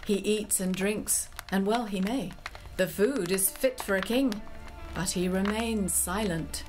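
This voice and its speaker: Lady narrator telling a story, measured and deliberate, clear music behind her. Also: medium pitch, poor audio. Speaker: narrator and questioner in a drama. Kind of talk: scripted dramatic storytelling narration.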